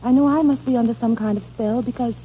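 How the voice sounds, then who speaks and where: Compassionate gentlewoman, played by an actress, higher register, earnest, emotional. Golden-age radio play, tinny narrowband recording. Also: fairly even intonation, fast, natural voice. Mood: wistful. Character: gentle, compassionate woman befriending a dying child. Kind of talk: 1930s-40s American radio drama acting. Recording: thin, phone-like old radio recording, hiss.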